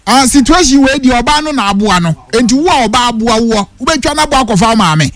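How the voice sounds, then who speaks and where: A man's voice: very high-pitched, lively intonation, fast, fairly bright tone. A man hosting a call-in radio show, now and then breaking into short sung phrases between callers. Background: music bed.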